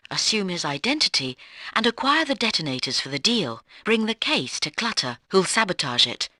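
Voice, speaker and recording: A woman playing a brisk spy team colleague who gives instructive, authoritative mission briefings in a video game. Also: medium pitch, bright, forceful tone, dry recording, studio quality.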